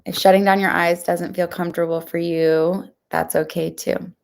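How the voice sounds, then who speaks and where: A woman leading a guided mindfulness meditation, speaking to listeners in a gentle, reassuring, informal way. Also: lowish voice, level tone, moderate pace, vocal fry.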